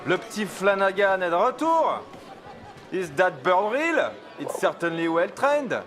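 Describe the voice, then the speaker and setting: A man's voice: high-pitched, big pitch swings, a little slow, fairly bright tone, faint music behind, full character voice. An actor playing a curious, friendly Frenchman in a video game, with a French accent. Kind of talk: dramatic video game dialogue.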